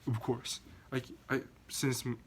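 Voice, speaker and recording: A man's voice: mid-range voice, level tone, slight background noise. A young man raised in Japan, a non-native English speaker, giving a practice informative speech to his class with many restarts and fillers. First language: Korean or Japanese. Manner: halting, frequent restarts and fillers. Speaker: student.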